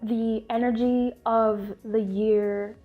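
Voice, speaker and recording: A woman's voice: on the high side, flat delivery, slow pace, narrow, tinny audio, faint music behind. A woman hosting her channel, with a sniffly, congested voice, chatting casually through a tutorial and rambling with fillers and restarts. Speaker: channel host.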